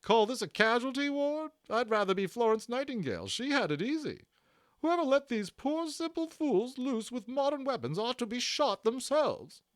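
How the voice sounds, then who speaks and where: A man voicing a brisk, indignant little woman nurse in a storybook, scolding and exclaiming. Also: extremely high pitch, big pitch swings, dry recording, voice pushed up.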